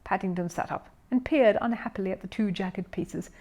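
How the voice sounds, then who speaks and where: A woman narrator reading a children's story aloud in an expressive style. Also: mid-range voice, fairly quick. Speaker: audiobook narrator.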